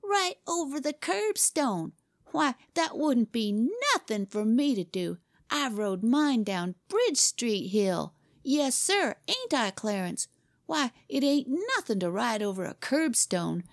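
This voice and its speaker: A woman's voice: high voice, big pitch swings, takes it slowly, vocal fry, no echo, studio quality, pitched above the performer's own voice, full character voice. A woman voicing a boastful, pompous small boy in a storybook, insistent and given to repeating himself. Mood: scornful boasting. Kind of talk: storybook character reading.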